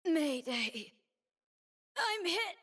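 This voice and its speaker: An actress playing a stern, proud female warrior in a fantasy video game, with dramatic, measured delivery and dry quips. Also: extremely high pitch, very animated, fairly bright tone, full character voice. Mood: brisk, defiant. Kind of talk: video game character voice lines.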